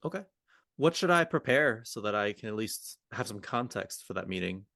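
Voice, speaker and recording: A man's voice: fairly low pitch, animated, moderate pace, vocal fry, fairly bright tone, dry recording. Informal man talking, close dry sound. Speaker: podcast co-host. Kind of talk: roleplay and conversational coaching discussion.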